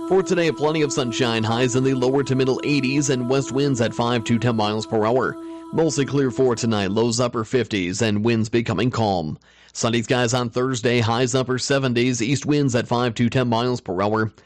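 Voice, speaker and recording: A man reads the weather forecast in a brisk, even announcer's delivery. Faint music plays behind him. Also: mid-range voice, no room sound.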